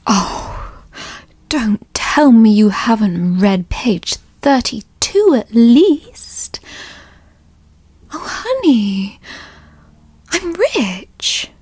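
Woman acting as a possessive mommy girlfriend, breathy whispers, very slow drawl, doting and teasing, ASMR roleplay. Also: fairly high pitch, big pitch swings, a little mellow, dry recording, full character voice. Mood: possessive, soothing. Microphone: close microphone, dry.

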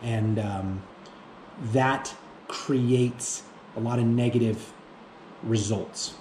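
A man in his thirties speaking off the cuff to camera as a fitness vlogger, haltingly, often repeating himself and searching for words. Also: mid-range voice, fairly even intonation, darkish tone. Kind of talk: reflective unscripted monologue to camera. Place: indoor room with bare walls. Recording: echoey room with faint background noise.

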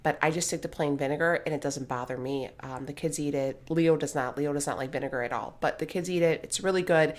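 A woman's voice: deep voice, fast, few pauses. A woman in her thirties narrating her home cooking in a casual, blunt, joking way, often checking in with "okay?". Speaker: vlogger.

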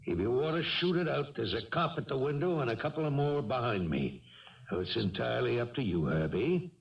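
An actor playing a self-assured police lieutenant with casual, slangy authority in a 1970s radio drama. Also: male voice, medium pitch, lively intonation, brisk, gravelly. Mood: commanding. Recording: dull, distant 1970s radio recording.

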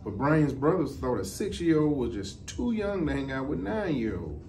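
Male, middle-aged, slightly higher-pitched voice. Measured storybook read, a little off-mic. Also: big pitch swings, warm tone. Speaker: deputy fire chief. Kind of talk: children's storybook reading. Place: indoor office, seated. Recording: distant mic, some background noise.

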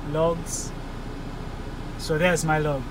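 A man in his forties, a truck driver, thinking aloud as he works through a screen in the cab of his parked truck, hesitant and halting. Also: a little high, little pitch movement. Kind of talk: casual vlog walkthrough. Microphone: phone camera held in the cab. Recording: engine and fan noise in a truck cab.

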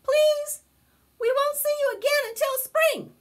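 A woman voicing mischievous young raccoons in a storybook, calling out in high, squealing, excited, pleading voices. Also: a little slow.

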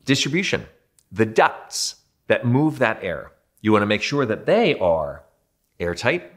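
A man's voice: lowish voice, very animated, a little slow, fairly bright tone. A man in his thirties, a building performance consultant, explaining home quality control to camera in a conversational, self-deprecating way from an indoor studio.

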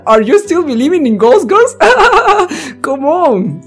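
Lively let's-player with music behind. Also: high voice, takes it slowly. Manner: giggly, interjects own reactions. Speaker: let's-play host. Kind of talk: reading game text aloud with casual commentary. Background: game music with plucked guitar.

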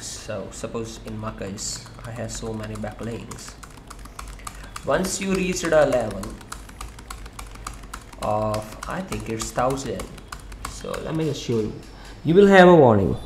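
Brief: male tutor, mid-pitched, casual screen-share walkthrough, faint hiss. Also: expressive, measured pace. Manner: loose, conversational explaining with fillers and restarts. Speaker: SEO tutorial presenter.

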